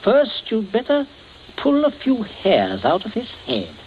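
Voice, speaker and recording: A man's voice: high-pitched, huge pitch swings, a little slow, full character voice. A man acting in a 1940s radio drama as a coolly menacing, domineering father, clipped and blandly courteous. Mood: cold, commanding. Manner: clipped, commanding, blandly courteous while threatening. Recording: muffled, hissy 1940s radio broadcast.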